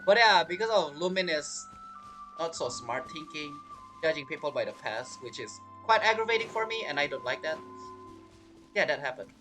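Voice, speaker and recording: Bright, animated man commentating a game. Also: a little high, soft background music, rough recording. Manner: halting, rambling, self-interrupting. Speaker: game streamer. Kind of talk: casual gameplay commentary.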